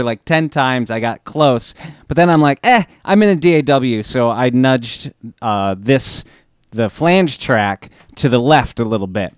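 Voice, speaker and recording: Young adult man, middle-pitched voice, laid-back, casual tutorial host, small home studio. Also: clear, resonant tone. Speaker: musician hosting a recording tutorial. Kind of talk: casual instructional talk to camera.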